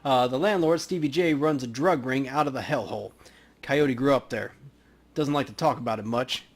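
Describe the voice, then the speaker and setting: Guy doing a tense street kid gang member, game dialogue, gruff urgent talk. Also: medium pitch, moderate pace, close to an everyday voice. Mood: agitated.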